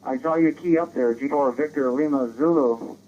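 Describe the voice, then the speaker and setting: A man's voice: on the high side, normal speed. A man chatting casually as an amateur radio operator, heard through a digital radio transmission. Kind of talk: casual ham radio chat. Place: in a car. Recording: dull digital radio link, faint noise.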